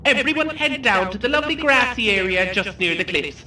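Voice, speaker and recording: An actor with an Irish accent playing a brash, sarcastic coach tour guide in an audio drama, theatrical and teasing. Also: male voice, very high-pitched, a little fast, crowd noise. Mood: teasing showman.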